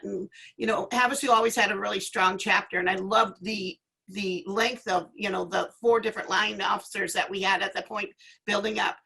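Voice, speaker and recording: A woman's voice: mid-range voice, vocal fry, bright, forceful tone, husky, low-quality recording. A woman giving an informal panel speech in an encouraging, emphatic manner. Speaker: association leader, panel speaker.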